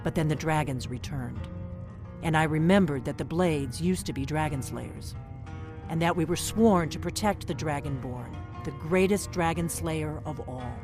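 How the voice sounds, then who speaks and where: A woman's voice: deep voice, moderate pace, lightly acted. An actress playing a terse, world-weary middle-aged female secret agent in a fantasy video game, wry and guarded. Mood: grim, resolute. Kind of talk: video game character dialogue. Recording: game music behind the voice.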